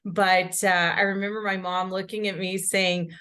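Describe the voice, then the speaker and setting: A woman's voice: medium pitch, flat delivery, moderate pace, pushed and bright, smooth. A woman from Houston speaking as an interview guest, upbeat and conversational, often repeating her phrases. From Houston, Texas. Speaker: guest, company director.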